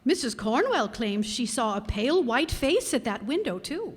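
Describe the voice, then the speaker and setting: A woman's voice: on the high side, sing-song, moderate pace, breathy edge. A woman playing a chatty, matter-of-fact housewife and mother in an old-time radio comedy. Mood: chatty, unbothered. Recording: reverberant hall stage recording.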